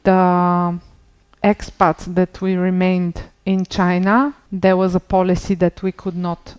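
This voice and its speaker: Woman, low smooth voice. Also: little pitch movement, a little mellow. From Bulgaria. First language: Bulgarian. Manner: unhurried, with frequent pauses and restarts. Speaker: podcast guest. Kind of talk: casual interview conversation.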